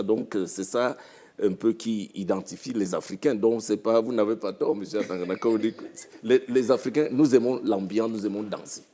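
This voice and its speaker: A man's voice: fairly low pitch, darkish tone. A man from Cameroon, a musician guest on a panel talk show, speaking reflectively and repeating his phrases.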